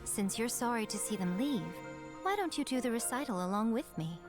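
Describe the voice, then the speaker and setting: A woman voicing a poised, gently teasing shrine priestess in a video game. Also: a little high, animated, brisk, close to an everyday voice. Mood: wistful. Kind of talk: game character voice acting. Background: game music.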